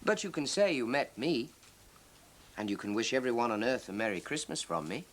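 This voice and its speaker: A man's voice: mid-range voice, very animated, a little fast, a bit bright. A man voicing a jolly, kindly old man, hearty and reassuring, in a children's storybook reading. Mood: amused, reassuring. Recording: hissy 1970s TV soundtrack.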